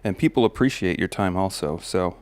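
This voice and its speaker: A man, a parent, sharing life advice in a relaxed personal monologue, with a slight gravel in his voice and frequent pauses and restarts. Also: low-pitched, no room sound. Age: adult parent of a daughter. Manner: relaxed, musing, many fillers and restarts. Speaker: podcast host.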